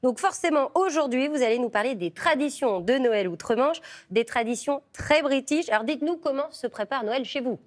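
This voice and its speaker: A woman's voice: high-pitched, animated, normal speed. A woman presenting the news, talking with a correspondent in a live exchange. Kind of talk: live studio hand-over to a correspondent.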